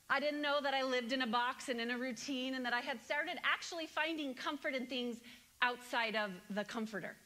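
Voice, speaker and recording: Animated woman preaching on a big stage. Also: high-pitched. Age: 30s. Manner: animated, conversational preaching with audience questions. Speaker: guest speaker. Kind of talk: conference keynote sermon. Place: large stage with spotlights before a congregation.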